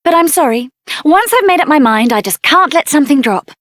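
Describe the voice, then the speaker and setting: High-pitched, spirited young mage heroine. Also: female voice, brisk, dry recording, studio quality. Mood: earnest, determined. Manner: assertive, sassy, expressive. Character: spirited, headstrong young female mage adventurer. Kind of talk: video game character voice acting.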